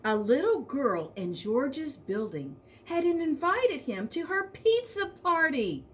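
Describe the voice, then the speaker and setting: A woman reads a picture book to young children in a fairly high voice. Her delivery is slow and highly animated, stretching key words for emphasis the way a schoolteacher does. There is faint noise behind her. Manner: expressive, addresses young listeners with questions and drawn-out emphasis. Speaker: elementary school teacher reading aloud. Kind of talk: children's storybook read-aloud.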